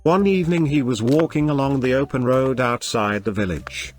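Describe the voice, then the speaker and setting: A man's voice: mid-range voice, moderate pace. A man narrating a scripted moral story for a cartoon. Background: faint music.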